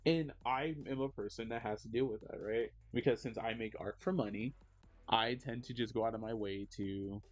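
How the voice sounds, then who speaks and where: A man's voice: moderate pace, no echo. A man in his thirties chats with his stream audience while he draws, rambling and filling his sentences with "like." His voice leaps upward when he reacts to the chat. The audio is a bit dull, with faint music playing underneath. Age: 30s. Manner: rambling, many "like" fillers, lively jumps in pitch when reacting to chat. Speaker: streamer and digital artist. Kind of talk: casual livestream chat while drawing.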